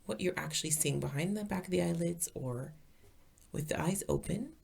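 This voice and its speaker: Woman doing guided meditation, soothing n calm, mellow dark tone, husky and breathy. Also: lowish voice, normal speed. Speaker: meditation teacher.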